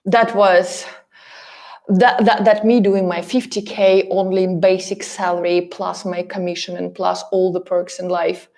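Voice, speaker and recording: A woman's voice: on the low side, little pitch movement, unhurried, creaky, darkish tone. A woman from Russia with a Russian accent gives a motivational coaching talk, speaking emphatically and directly to her listeners with frequent repetition for stress. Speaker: relocation coach and course host.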